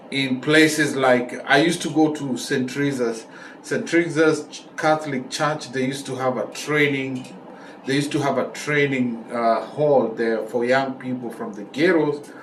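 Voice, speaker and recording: A man's voice: medium pitch, a bit bright. A middle-aged man with a Kenyan accent telling stories casually as a vlog host, repeating phrases for emphasis. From Kenya, raised in Nairobi. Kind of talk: casual talk to camera, storytelling. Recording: roomy echo, distant mic, faint noise.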